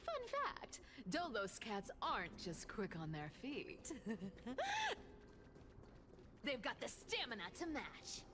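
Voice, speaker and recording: A woman's voice: fairly high pitch, big pitch swings, slow, pauses often, noisy background. A woman voicing a playful, cocky cat thief in a video game, theatrically teasing with whispers and giggles. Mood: smug, teasing. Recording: loud game music behind. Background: game music.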